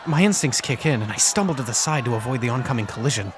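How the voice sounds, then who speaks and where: A man playing a self-conscious high school senior, narrating a visual novel fan dub in the first person with a wry, introspective manner. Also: lively intonation, quick pace, noisy background, close to an everyday voice. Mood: startled narration.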